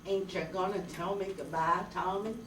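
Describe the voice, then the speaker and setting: A woman's voice: medium pitch, fairly even intonation, normal speed, fairly bright tone, natural voice. A woman playing a worried, loving older farm mother with folksy rural speech in a staged radio drama reading. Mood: resigned. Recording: roomy hall, distant mic, faint noise.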